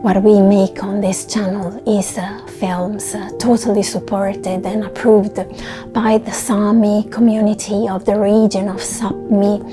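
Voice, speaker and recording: A woman hosting her channel, giving an earnest voice-over narration with soft, trailing ends to her phrases. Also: medium pitch, little pitch movement, measured pace. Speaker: channel host. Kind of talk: voice-over narration of a video essay. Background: music under the voice.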